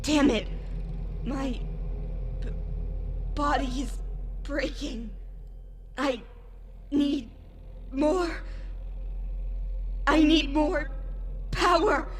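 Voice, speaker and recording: A woman's voice: high-pitched, drawn-out words, pauses often. A woman acting a desperate, stubborn young fighter in an anime-style fan drama, with strained and urgent delivery.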